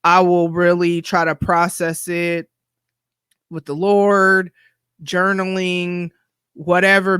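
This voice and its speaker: A woman's voice: lowish voice, fairly bright tone, clear, resonant tone, dry recording, clean studio sound. A woman in her forties hosting her own podcast, talking candidly in a chatty, blunt way. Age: 40s. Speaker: podcast host. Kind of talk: solo podcast monologue.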